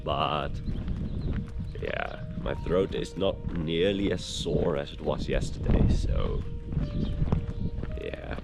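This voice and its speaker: A man with a hoarse voice, narrating his hike in an unhurried, hesitant way as a travel vlogger. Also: rumbling low, sing-song, loud music behind the voice. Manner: unhurried, hesitant, explaining as he walks. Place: outdoors on a hiking trail.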